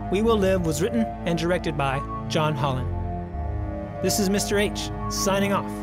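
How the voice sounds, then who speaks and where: A man reading scripted credits as a show's host and announcer. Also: on the high side.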